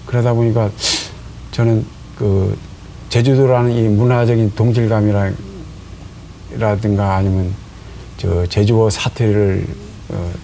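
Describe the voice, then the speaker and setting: A man, a folk singer guest on a studio talk show, speaking haltingly with many pauses and fillers. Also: fairly low pitch, vocal fry, warm tone, slight background noise, poor audio.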